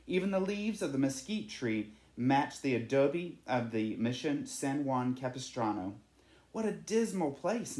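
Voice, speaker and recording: A storyteller reading a children's storybook aloud in an animated, dramatic way. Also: mid-range voice, reverberant.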